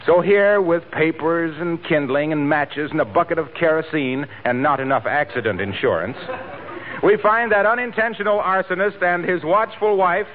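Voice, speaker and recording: A man announcing a 1940s radio show and delivering its sponsor pitch in scripted period style. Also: moderate pace. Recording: old narrow-band radio broadcast.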